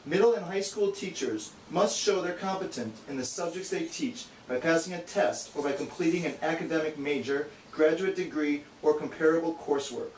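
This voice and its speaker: A man's voice: on the high side, normal speed, few pauses. A man giving an earnest, matter-of-fact scripted educational explanation as the straight man of a puppet show. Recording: echoey, distant, faint noise.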